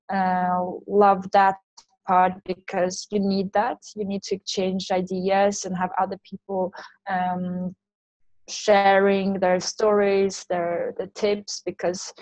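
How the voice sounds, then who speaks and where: A woman's voice: mid-range voice, darkish tone, dry recording, low-quality recording. Woman, closely recorded dry voice. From Sweden. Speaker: interview guest, online language teacher and entrepreneur. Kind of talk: casual interview conversation.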